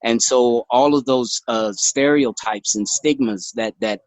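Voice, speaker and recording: A man from Florida, talking in a halting way full of fillers and repeated words. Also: medium pitch, no room sound, rough recording. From Florida, United States. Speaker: new podcast co-host. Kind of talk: casual chat.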